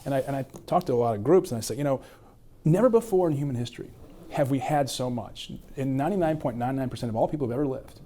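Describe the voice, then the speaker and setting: Man with a warm, mellow voice asking questions. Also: medium pitch, fast talker, slight background noise. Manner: rambling, self-restarting questions. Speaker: podcast host. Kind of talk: conversational interview.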